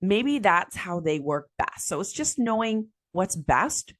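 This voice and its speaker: A woman hosting a solo podcast, raspy after being sick, talking in a chatty, upbeat way with lots of fillers and small laughs. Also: mid-range voice, very animated, takes it slowly. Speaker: podcast host. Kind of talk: solo podcast tips talk.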